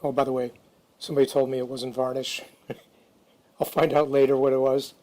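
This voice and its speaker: Male DIY narrator, mid-pitched and very flat in intonation, informal and off the cuff. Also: moderate pace. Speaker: DIY restoration video narrator. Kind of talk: casual how-to narration.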